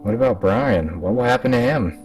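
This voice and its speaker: A man's voice: mid-range voice, animated, a little fast, darkish tone, recorded from a distance, soft background music, pitched above the performer's own voice, lightly acted, low-quality recording. A man voicing a distressed doctor who questions urgently, in a horror story reading. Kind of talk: acted dialogue in a horror story.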